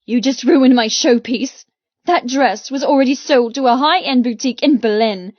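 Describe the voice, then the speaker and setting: A woman's voice: on the high side, moderate pace, dry recording, highs cut off, close to an everyday voice. Woman acting a defiant, frightened young captive woman. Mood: indignant anger. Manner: indignant, urgent, emotional. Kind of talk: audiobook character dialogue.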